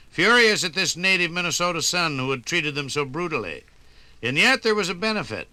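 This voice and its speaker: A man's voice: on the high side, sharp tone, no echo. An American man delivering emphatic, oratorical scripted commentary for a 1950s radio documentary.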